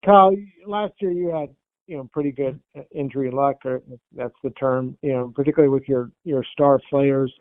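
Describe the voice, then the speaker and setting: A man's voice: mid-range voice, steady tone, normal speed, dry recording. A man asking press questions as a sports reporter, hesitant and roundabout, over a phone line. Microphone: phone conference call. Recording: narrow phone line, dry.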